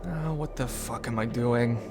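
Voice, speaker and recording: A man's voice: mid-range voice, a little slow, background noise, lightly acted. A man playing an anxious, distrustful female rebel mech pilot in an audio drama, hesitant and rambling. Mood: flustered.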